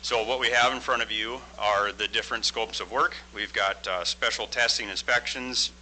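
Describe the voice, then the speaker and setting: A man in his forties, a construction project manager, giving a loud, plain-spoken presentation into a microphone at a school board meeting. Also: lowish voice, moderate pace, slight background noise, poor audio. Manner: loud, plain-spoken, steady explaining with frequent "uh" fillers. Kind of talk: formal presentation at a public meeting.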